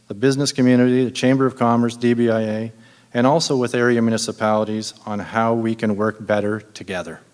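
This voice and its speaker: A man, a candidate at a city council debate, reading a measured, formal campaign statement. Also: mid-range voice, a little mellow, long reverb tail. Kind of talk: prepared campaign speech.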